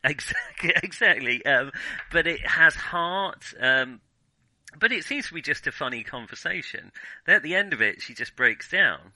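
Bright, halting man discussing films. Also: fairly high pitch, animated, no room sound, low-quality recording. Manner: halting, thinking aloud with many false starts. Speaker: film podcast host. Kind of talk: casual film discussion.

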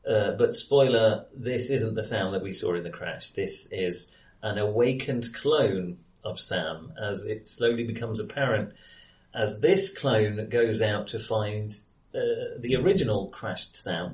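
Man chatting about film in echoey room. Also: on the low side. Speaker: film discussion podcast co-host. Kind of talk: casual film discussion. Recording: roomy echo, distant from mic.

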